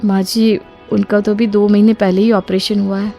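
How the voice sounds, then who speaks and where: A woman's voice: medium pitch, level tone, normal speed, dark tone, soft background music, close to an everyday voice. A woman playing a humble, distressed young mother in an acted moral story, with a polite, earnest, plaintive way of talking. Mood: troubled.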